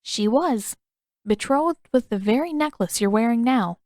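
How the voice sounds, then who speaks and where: Storybook elder healer, played by a woman: old woman, high voice, gentle, wistful, measured and formal, very dry sound. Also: animated, no room sound. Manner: measured, formal, reflective phrasing. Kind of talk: audiobook character dialogue.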